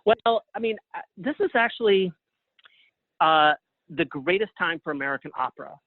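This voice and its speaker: A man's voice: sits high, lively intonation, no room sound. Man on a thin phone line. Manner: halting, thinking aloud. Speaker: guest playwright and librettist. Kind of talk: conversational interview answers. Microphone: phone or call line.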